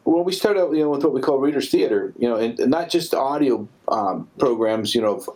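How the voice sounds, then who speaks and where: Male interview guest with halting phrasing. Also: medium pitch, expressive, darkish tone, poor audio. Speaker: ministry director, radio show guest. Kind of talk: unscripted interview talk.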